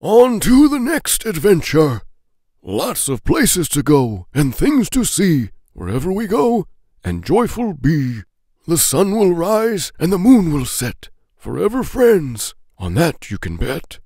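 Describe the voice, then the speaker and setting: A man reading children's rhyming poems aloud in a playful, deliberate, sing-song storybook style. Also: fairly high pitch, wildly swooping pitch, dark tone, no echo, clean studio sound. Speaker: children's book read-aloud narrator.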